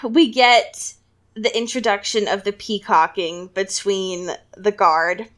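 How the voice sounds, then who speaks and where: A woman's voice: mid-range voice, fairly even intonation, slow. A woman podcast co-host in casual, dramatic fangirl chat about a book, full of slang and giggles. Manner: giggly, laughs often.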